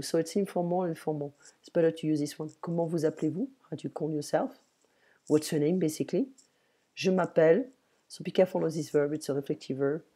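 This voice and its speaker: Female language tutor on a video call, low and mellow voice, unhurried bilingual explanations. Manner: switches between French and English, slow and explanatory. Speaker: French teacher. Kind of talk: online language lesson.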